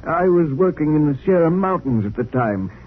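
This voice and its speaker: Kindly frail old doctor, bit high and husky, stumbles over words, old radio play. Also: male voice, lively intonation. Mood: wistful, halting. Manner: halting, courteous, repeats his words. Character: frail, kindly, lonely old scientist, almost eighty. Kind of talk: 1950s radio drama acting. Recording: tinny, band-limited old radio broadcast.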